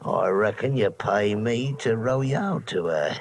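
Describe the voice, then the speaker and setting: An actor playing a nosy old expatriate sea captain, folksy and gravelly, in a vintage radio drama. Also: male voice, on the low side, expressive, measured pace. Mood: nosy, wry. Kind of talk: 1940s radio acting. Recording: noisy vintage radio recording.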